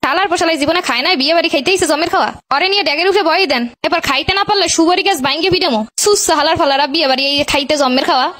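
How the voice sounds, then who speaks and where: Woman doing bossy stingy cartoon bride, high pitched, super fast scolding, barisal village accent. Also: a bit bright. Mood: indignant. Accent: Barisal, Bangladesh. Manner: scolding, blunt, commanding. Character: bossy, stingy young village bride guarding her wedding. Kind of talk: comic cartoon dialogue.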